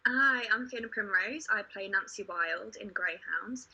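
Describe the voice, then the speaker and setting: A woman talks in casual panel chat. Her mid-pitched voice is very bright and forward, with a husky grain. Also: measured pace, lo-fi sound. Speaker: actor on a panel.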